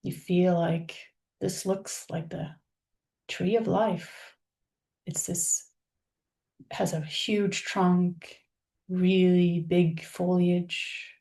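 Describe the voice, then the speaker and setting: Slow, gravelly-soft woman guiding meditation. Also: on the low side, level tone. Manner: soothing, unhurried, with long pauses between phrases. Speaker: guided meditation leader. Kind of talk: guided meditation, soft spoken visualization.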